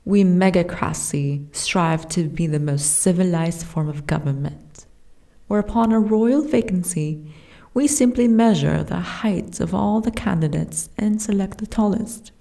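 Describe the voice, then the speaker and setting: A woman voicing a gentle, wistful young giantess princess with courtly, formal phrasing in a soft ASMR fantasy roleplay. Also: lowish voice, unhurried, vocal fry. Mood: genteel, gently amused. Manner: formal, archaic, genteel phrasing.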